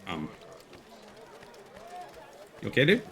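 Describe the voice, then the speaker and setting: A man hosting a livestream, chatting casually and commentating on his game with rambling, wry asides. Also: slow. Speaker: livestream gamer and host. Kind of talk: casual gameplay commentary and chat.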